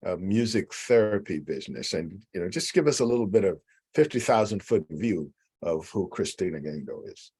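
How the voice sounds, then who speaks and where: A man hosting a public interview, speaking in a measured, inclusive way with frequent uhs. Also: low-pitched, lively intonation, darkish tone, husky. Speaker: interviewer, host.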